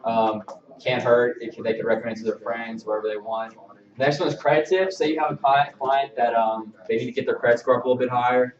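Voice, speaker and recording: Halting male trainer in a roomy space. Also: mid-range voice, fairly even intonation. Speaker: mortgage loan officer giving staff training. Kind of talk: informal presentation of email templates. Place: indoor training room with projection screen. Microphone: far camera microphone. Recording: distant, roomy, slightly dull mic.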